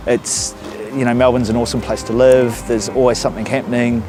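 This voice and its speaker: A man in his forties with a New Zealand accent talks in an easygoing, casual way. He is interviewed outdoors in a park, and music plays clearly underneath him. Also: mid-range voice, level tone, a little fast. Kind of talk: documentary interview.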